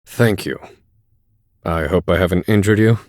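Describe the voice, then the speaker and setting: A man voicing a grave, literal-minded scholar of magic in a video game, speaking in a formal, measured and stern way. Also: deep voice, a little mellow, a little hiss or hum. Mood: dry, resolute. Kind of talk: dramatic video game voice acting.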